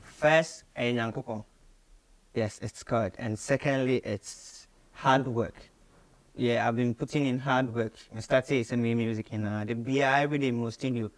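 Young Ghanaian man, mid-pitched, chatting casually. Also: fairly even intonation, normal speed, rough recording. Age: 20s. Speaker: interview guest, musician. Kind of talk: casual chat, mixing English, Pidgin and Twi. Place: small radio studio office, indoors.

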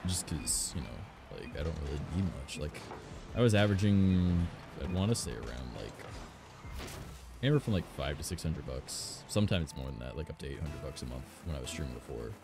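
A young man from Canada with a raspy voice, chatting casually and rambling as a streamer, filling his talk with "like" and "um". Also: extremely low pitch, animated, a little fast, music in the background. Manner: rambling, frequent "like" and "um". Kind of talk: casual chat while gaming. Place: home recording studio.

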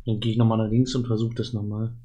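A man's voice: fairly low pitch, monotone, fast, warm tone, breathy edge. A man streaming an adventure game, chatting casually and thinking aloud in a musing way. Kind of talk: casual chat while gaming, thinking aloud.

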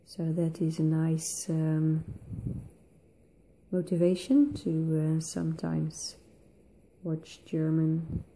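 Slow, gravelly-voiced Dutch woman. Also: low-pitched, little pitch movement, warm tone, low-quality recording. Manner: slow, thoughtful, with many pauses and fillers. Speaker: art tutorial host. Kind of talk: relaxed voice-over while colouring.

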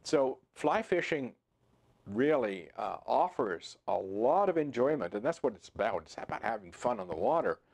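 A man in his sixties hosting a fly fishing channel, talking to camera in a relaxed, conversational way with frequent fillers and restarts, seated in a home office. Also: medium pitch, normal speed, creaky. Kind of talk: to-camera instructional talk. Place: home office with computer screens, indoors.

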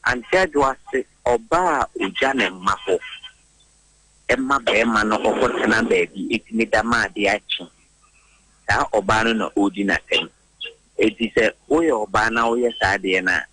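A pastor calling in to a radio show and giving preachy, moralizing advice. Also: male voice, fairly low pitch, brisk, pushed and bright, husky, no room sound, rough recording. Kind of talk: phone-in advice.

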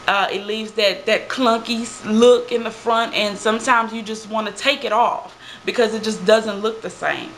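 A woman's voice: fairly high pitch, steady tone, moderate pace, slight background noise. A young adult woman chatting in a casual product review vlog, emphatic, with lots of fillers and tag questions, recorded on a webcam in a small room. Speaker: beauty vlogger.

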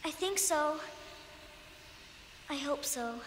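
A woman's voice: high-pitched, lively intonation, slow, a little hiss or hum. A female actor playing a frightened but plucky little American girl in a video game, pleading and earnest. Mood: anxious hope. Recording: echoey concrete hall, music behind.

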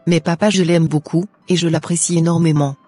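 A man plays an earnest young woman in a cartoon. The voice is raised in pitch, dark and husky, speaking in a measured way over music. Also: steady tone. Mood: hurt, pleading. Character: earnest young woman, obedient yet devoted to her love. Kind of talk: scripted cartoon dialogue.

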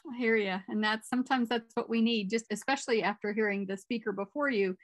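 A woman's voice: on the high side, quick pace, no echo. A middle-aged woman moderating a conference Q&A over a video call.

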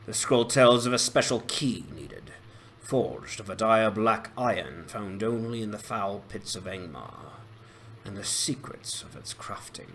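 Man playing a grave, low-voiced elf lord. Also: expressive, fairly bright tone. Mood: solemn counsel. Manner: formal, solemn, measured. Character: noble, grave male elf lord of great age. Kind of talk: dramatized fantasy game quest dialogue. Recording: voice over music.

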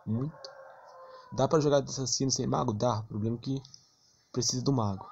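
A man's voice: mid-range voice, fairly even intonation, brisk, creaky, darkish tone, muffled recording, a little hiss or hum. A man with a Brazilian accent giving casual, slangy, blunt gaming commentary with mild cursing. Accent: Brazilian Portuguese. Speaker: gameplay streamer.

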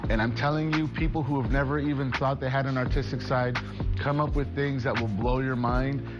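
Man, 40s, shop owner, upbeat joking pitch to camera on a lapel mic, loud music bed underneath. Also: steady tone, a little fast. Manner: upbeat, chatty, joking salesman tone. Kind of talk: promotional interview to camera. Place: indoor workshop.

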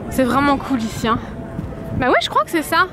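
A woman's voice: high-pitched, animated, brisk, music in the background, crowd noise. A young woman chatting casually and enthusiastically in slangy speech, vlogging on a handheld camera outside. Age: young adult. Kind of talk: casual vlog chat. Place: outdoors on a windy seaside promenade. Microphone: handheld camera at arm's length. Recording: loud outdoor wind and street noise.

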